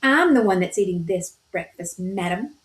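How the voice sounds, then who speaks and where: A woman's voice: medium pitch, animated, moderate pace, slight background noise, lightly acted. A woman voicing a sulky, defiant little girl, read aloud from a storybook. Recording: roomy echo.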